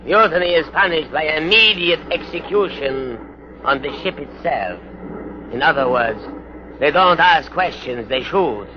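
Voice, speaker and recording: An actor playing a smug, menacing spaceship captain with formal, gloating speech in a 1950s radio drama. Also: male voice, a little high, animated, measured pace. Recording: muffled, noisy old radio broadcast.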